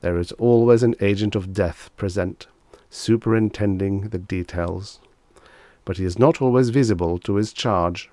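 Man playing a stiff, low-voiced agent of death. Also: mellow, no echo, lightly acted. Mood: solemn, composed. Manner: stiff, polite, measured, formal. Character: formal, earnest male agent of death, novice. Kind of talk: dramatised story reading.

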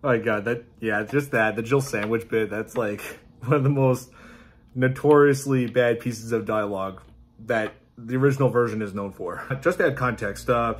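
Husky-voiced man chatting casually. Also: mid-range voice. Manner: halting, amused, laughs while talking. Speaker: YouTube host reacting to video game clips. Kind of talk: casual chat, amused reaction commentary. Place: small indoor room at home.

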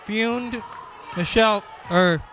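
Male play-by-play caller, high-pitched and unhurried, over a loud school gym crowd. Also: slight background noise. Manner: slow clipped calls with occasional shouting. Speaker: basketball play-by-play announcer. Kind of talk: live sports commentary. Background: crowd and bouncing ball.

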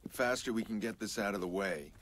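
An actor playing a grim, guarded vigilante hacker in a video game, speaking in a terse, dry deadpan. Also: male voice, lowish voice, fast talker. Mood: cold, dry. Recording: game dialogue over noisy outdoor ambience.

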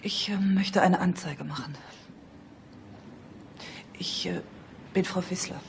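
Nervous hesitant lady, halting speech, radio play, faint background noise. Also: on the low side, fairly even intonation, gravelly. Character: nervous, hesitant architect's wife insisting on discretion. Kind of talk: German radio play acting.

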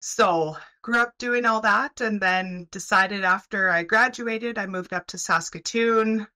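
A woman in her thirties from Canada, chatting as a podcast guest over a video call on a headset, friendly and quick to laugh. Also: medium pitch, pushed and bright. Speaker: guest, welding program head. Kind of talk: casual interview chat. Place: home room, video call.

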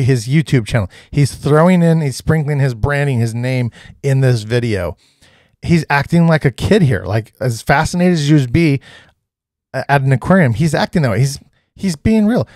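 A man in his thirties giving casual, energetic reaction commentary, coaching viewers and repeating himself for emphasis. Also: mid-range voice, normal speed, dry recording, studio quality. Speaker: real estate content creator reacting to a video. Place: small home studio, indoors.

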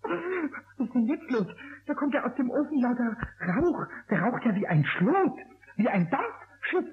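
A man voicing a small, cheeky, stubborn kobold in a 1960s radio play, squeaky and childlike, stammering and repeating words. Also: big pitch swings, measured pace, slight background noise, full character voice. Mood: busy, self-talking. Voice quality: squeaky, high. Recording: tinny lo-fi old radio recording.

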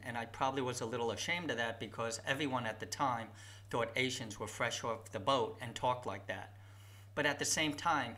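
Bright-voiced man narrating a video essay. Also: mid-range voice. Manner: reflective, personal storytelling. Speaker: YouTube host.

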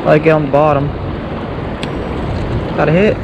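Higher-voiced man chatting outdoors over noise. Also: steady tone, unhurried, gravelly, muffled recording. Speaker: angler vlogger. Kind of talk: casual outdoor fishing vlog chat. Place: outdoors on a grassy riverbank. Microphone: action camera worn on the body.

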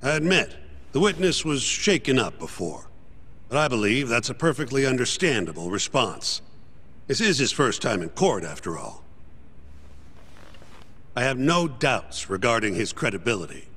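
Male game defense attorney: medium pitch, lively intonation, composed, courteous, pointed. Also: slightly rough. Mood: earnest. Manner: polite, measured, probing. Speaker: defense lawyer. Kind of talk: English-dub video game cutscene acting.

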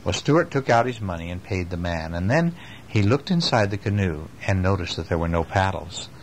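An older man reading a children's book aloud at home and chatting gently with a child between passages. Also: sits low, lively intonation, fairly quick, slight background noise. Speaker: father reading a bedtime story. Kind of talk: bedtime story reading to a child.

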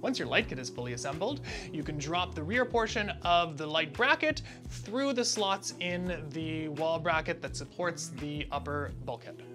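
A man's voice: fairly high pitch, animated, moderate pace, music in the background. A man in his thirties giving a step-by-step installation tutorial in a small indoor room, with frequent "uh" fillers. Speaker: tutorial presenter. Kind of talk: instructional how-to narration.

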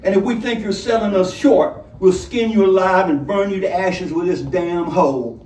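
A threatening, folksy highway robber from a storybook, acted out by a man reading aloud, lays down rough orders in a raised pitch. The sound is roomy and set back from the microphone. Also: normal speed, darkish tone, close to an everyday voice. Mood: menacing. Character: menacing, swaggering male highway robber making rough threats. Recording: roomy, distant microphone, some noise.

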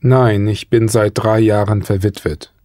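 Slow, low man with careful speech. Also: fairly even intonation, warm tone, no room sound, studio quality. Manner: careful, deliberate pronunciation. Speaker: course speaker voicing dialogue answers. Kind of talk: slow scripted dialogue reading.

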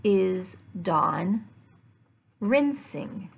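Very slow-speaking woman teacher with creaky voice. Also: mid-range voice, animated. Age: middle-aged. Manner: deliberate, enunciating word by word in short phrases with pauses. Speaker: English teacher. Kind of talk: slow language lesson for learners. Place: small living room at home, indoors.

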